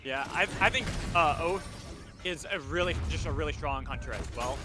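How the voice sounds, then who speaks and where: A man giving live esports commentary as an excitable play-by-play caster. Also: a little high, normal speed. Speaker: esports shoutcaster. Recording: steady clear background game noise. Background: video game sounds.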